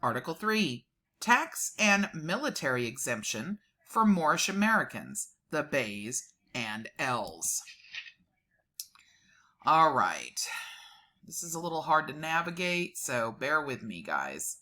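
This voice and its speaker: Female host, deep register, crisp bright tone, dramatic document reading broken by informal commentary. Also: sing-song, slow.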